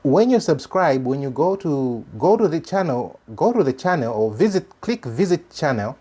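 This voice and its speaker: A man from Malawi with a Malawian accent, a native Chichewa speaker, hosting an informal religious commentary in an earnest, halting way that repeats phrases for emphasis. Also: fairly high pitch, sing-song, dark tone, breathy edge. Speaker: host of a religious commentary channel.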